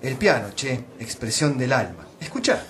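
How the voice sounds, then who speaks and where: A man's voice: mid-range voice, big pitch swings, normal speed, a bit bright. An actor in his forties playing a talkative porteño psychologist and tango pianist in an audiobook, with a Buenos Aires Spanish accent. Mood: wistful. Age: 40s. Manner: talkative, uses vos and che. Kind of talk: audiobook character reading.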